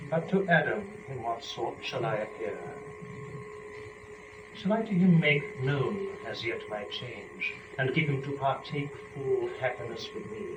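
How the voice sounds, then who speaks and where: A man performing as a young, scheming, rapturous first woman in a measured, theatrical dramatic verse reading. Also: medium pitch, expressive, close to an everyday voice. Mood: sly, calculating. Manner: measured, theatrical, deliberate phrasing. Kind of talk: dramatic verse recitation of epic poem. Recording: noisy, dull, echoey room from a distance.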